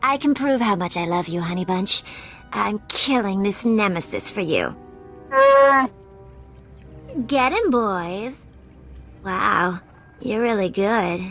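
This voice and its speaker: A woman's voice: medium pitch, animated, unhurried, fairly bright tone, faint music behind. A woman playing a flirty, deceitful, lovesick starship captain in a video game, with a coy, sugary manner. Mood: flirty, gleeful. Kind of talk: video game cutscene acting.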